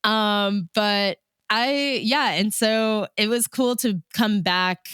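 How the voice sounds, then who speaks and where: An American woman telling stories in a casual, conversational way, informal and animated, as a radio DJ being interviewed. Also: mid-range voice, slow, smooth, no room sound, clean studio sound.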